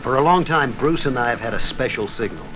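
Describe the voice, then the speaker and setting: An actor playing an easygoing, wry sidekick to a secret agent in a 1960s radio drama, casual and teasing. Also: male voice, mid-range voice, expressive, brisk, over music, lightly acted, low-quality recording. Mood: matter-of-fact. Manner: casual, teasing, laid-back.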